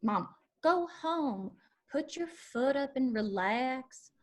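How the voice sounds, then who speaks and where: An actress playing a feisty young honky-tonk manager in a screenplay table read, with dry, quick-witted retorts. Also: female voice, high-pitched, expressive, exaggerated voice. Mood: exasperated.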